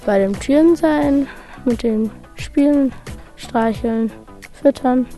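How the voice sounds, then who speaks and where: A young woman answers an interview briefly and simply. Her voice is a little high and quite mellow, with faint music behind it. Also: takes it slowly. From Germany.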